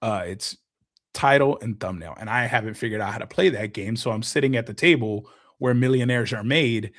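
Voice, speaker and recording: Guest creator, mid-pitch man, lively explainer with game talk. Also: a little fast. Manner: animated, explains with gaming comparisons. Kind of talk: casual podcast chat.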